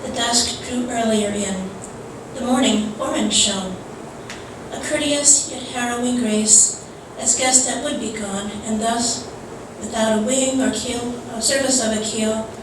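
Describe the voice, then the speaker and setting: A woman's voice: a little high, steady tone, measured pace. A woman reading a poem aloud as a congregation member at a service. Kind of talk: poetry recitation. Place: large echoey indoor room at a church service, mic at a distance. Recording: distant, echoey hall with clear noise.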